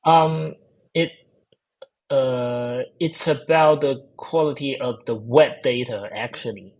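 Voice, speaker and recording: A man's voice: medium pitch, slow pace, a little mellow, rough recording. A man with a Chinese accent answering interview questions, hesitant with many fillers and restarts. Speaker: guest, AI researcher. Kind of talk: casual technical interview.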